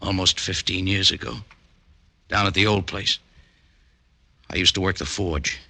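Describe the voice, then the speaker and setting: An actor playing a bitter, resentful working-class factory partner in an old radio detective drama, blunt and aggrieved. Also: male voice, low voice, fairly even intonation, measured pace, pushed and bright, no room sound, heavily acted. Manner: aggrieved, blunt, ungrammatical working-man speech. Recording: husky-sounding old radio broadcast.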